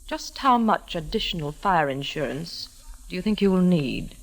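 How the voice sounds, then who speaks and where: A woman's voice: lowish voice, expressive, normal speed, loud music behind the voice, low-quality recording. A woman playing a prim, persistent insurance saleswoman, polite and proper, in a 1940s radio drama. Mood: politely insistent. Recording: old radio recording.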